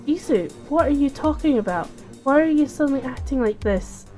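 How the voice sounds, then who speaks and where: A woman's voice: medium pitch, unhurried, mellow, faint music behind, close to an everyday voice. A woman voicing a fragile, bewildered young woman who is hesitant and pleading in a dramatic story reading. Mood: distressed, pleading. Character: fragile, bewildered, self-pitying young woman, easily upset.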